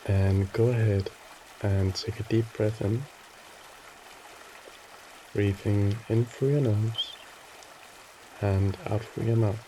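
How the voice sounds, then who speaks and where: A man narrating a guided meditation in a soothing, gently instructing way, with short phrases and pauses between them. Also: on the low side, fairly even intonation, slight background noise, poor audio.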